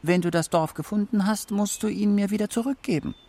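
A man playing a proud, wary talking forest fox in a children's radio play, husky and musing. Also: high voice, a little fast, a little mellow. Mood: bargaining.

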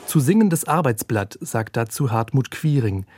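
A man's voice: medium pitch, expressive, moderate pace, a little mellow, husky, no room sound, clean studio sound. A man narrating a scripted news feature as a reporter. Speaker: radio reporter.

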